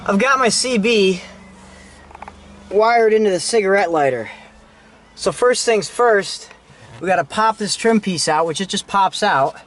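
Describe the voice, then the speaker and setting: A man's voice: high-pitched, animated, measured pace, pauses often, fairly bright tone, a little hiss or hum. A man giving a casual, informal hands-on how-to narration as a DIY car vlogger while he works inside a vehicle. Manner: informal, talking while working with his hands. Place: inside a Jeep, handheld camera.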